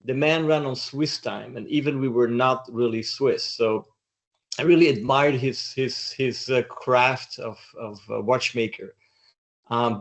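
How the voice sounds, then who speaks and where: A middle-aged man from Belgium, a guest in an interview, speaking in a reflective, thoughtful way. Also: medium pitch. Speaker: interview guest, doctoral student. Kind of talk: casual interview chat.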